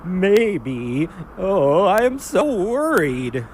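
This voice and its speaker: A man's voice: sits high, sing-song, very slow, slight background noise, full character voice. A man voicing an earnest, worried ox in a family radio drama, with an anxious, pleading manner. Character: earnest, worried young male blue ox.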